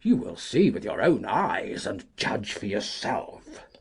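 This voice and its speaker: A woman voicing a cantankerous, self-important old gentleman in an audiobook, with a husky, gravelly voice and pompous, emphatic delivery. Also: extremely low pitch, big pitch swings, slow, voice pushed down, exaggerated voice. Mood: excited. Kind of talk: audiobook character reading.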